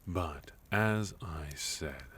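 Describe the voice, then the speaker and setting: Man voicing a very deep, courteous villain leader. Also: animated, fairly bright tone, a little hiss or hum, full character voice. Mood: calmly guarded. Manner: formal, polite, measured, with deliberate pauses. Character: sophisticated, courteous villain leader, calm and quietly menacing. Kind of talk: ASMR roleplay, intimate second-person acting.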